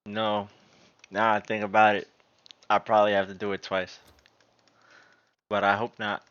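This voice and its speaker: A man's voice: fairly low pitch, normal speed, clear, resonant tone, lo-fi sound. A man streaming a game, chatting casually and muttering to himself as he plays. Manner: relaxed, muttering to himself, laughs often. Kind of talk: casual gameplay commentary.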